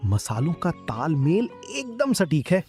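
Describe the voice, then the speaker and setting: A man voicing an enthusiastic, appreciative village customer in an animated story. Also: fairly high pitch, measured pace, soft background music. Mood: admiring. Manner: enthusiastic, praising. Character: admiring, appreciative male village dhaba customer. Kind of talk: Hindi animated story dialogue.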